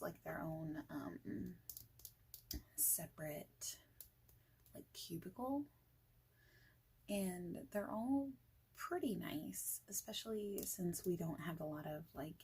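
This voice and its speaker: A woman speaking softly and breathily in an ASMR roleplay as a friendly, informal boss, often near a whisper, in a casual, hesitant, rambling manner. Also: fairly low pitch, slight background noise, rough recording. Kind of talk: soft-spoken ASMR roleplay, informal job interview chat.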